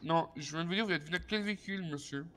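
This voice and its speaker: A man's voice: on the high side, rapid-fire, a bit bright, slight background noise. A man improvising as a police officer in online game roleplay, hesitant and stammering, over game voice chat.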